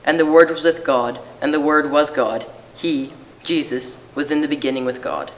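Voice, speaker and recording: A man's voice: a little high, moderate pace. A man giving a scripted poetic reading at a church service, heard with long reverb. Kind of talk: scripted poetic reading, shared among readers.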